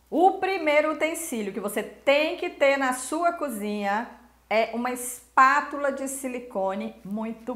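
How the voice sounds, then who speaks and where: High-voiced Brazilian woman, roomy sound. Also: a bit bright. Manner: chatty, emphatic, addresses viewers directly. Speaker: cooking show host. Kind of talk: casual instructional talk to camera. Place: small indoor kitchen. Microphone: lapel mic. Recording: roomy kitchen echo.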